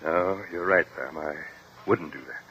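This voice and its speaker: An actor playing a stern, principled older uncle and guardian, firm and admonishing, in 1950s radio drama style. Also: male voice, deep voice, measured pace. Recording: dull lo-fi old radio recording.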